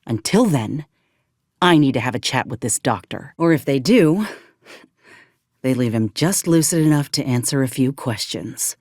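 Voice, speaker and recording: A woman's voice: extremely low pitch, expressive, normal speed, a little mellow, dry recording, clean studio sound, heavily acted. An actress playing a principled, wounded woman soldier in a video game, weary yet fiercely indignant, speaking earnestly in folksy, old-fashioned frontier phrasing. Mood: firm, indignant. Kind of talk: dramatic video game acting.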